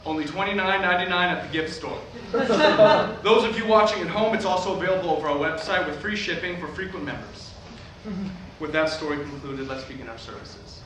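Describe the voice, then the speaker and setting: A man's voice: a little high, a little fast, lightly acted. Far-off, echoing showy preacher played by a man. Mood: rousing, salesy. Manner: declamatory, emphatic salesman-preacher delivery. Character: showy middle-aged evangelist preacher hawking miracle water. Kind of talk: theatrical sermon on a stage. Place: staged reading in a hall, recorded from afar. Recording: distant echoey hall, noisy room recording.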